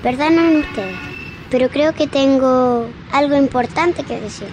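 Woman acting a high-voiced, solemn little girl. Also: a little slow, background noise. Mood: calm, assertive. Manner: formal, assertive declarations. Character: blind little girl, earnest, solemn, unafraid truth-teller. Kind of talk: radio drama acting.

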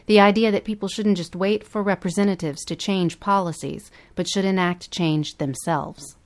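A woman's voice: on the low side, fairly even intonation, moderate pace. A woman co-hosting a podcast, reading scripted documentary-style narration. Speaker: podcast co-host.

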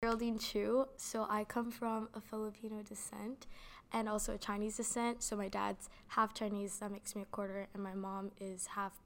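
A woman's voice: medium pitch, level tone, normal speed, fairly bright tone. A young woman giving casual interview answers in a chatty way, with lots of "like" fillers. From Canada. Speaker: interviewee, actor.

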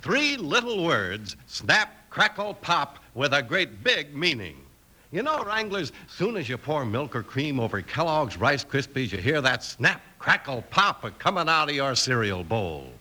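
A man's voice: on the high side, big pitch swings, a little slow, sharp tone. An American man announcing for a 1950s radio show in an emphatic, polished, scripted delivery. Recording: old radio recording with music.

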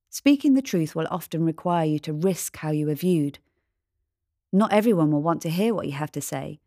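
A woman reading a scripted devotional in a measured, reflective manner. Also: low-pitched, a little mellow, no room sound, studio quality. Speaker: devotional reader.

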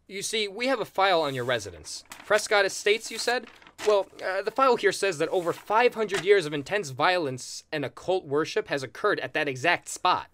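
An earnest paranormal hotline agent in an audio comedy. His voice is high and animated, with the courteous, deferential manner of customer service. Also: normal speed, a little hiss or hum. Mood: earnest, apologetic. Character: polite, earnest ghost-removal hotline agent. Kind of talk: audio comedy sketch acting.

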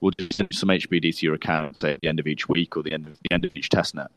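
Low-voiced man co-hosting a podcast. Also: steady tone, quick pace, a bit bright, no echo, rough recording. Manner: explains plans at length, many fillers and restarts. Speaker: podcast co-host. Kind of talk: casual podcast chat.

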